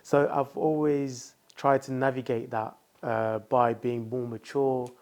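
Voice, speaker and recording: A man's voice: mid-range voice, little pitch movement, a little slow, gravelly, darkish tone, slight background noise. Thoughtful man talking with many fillers. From France. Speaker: founder, guest. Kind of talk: casual interview talk.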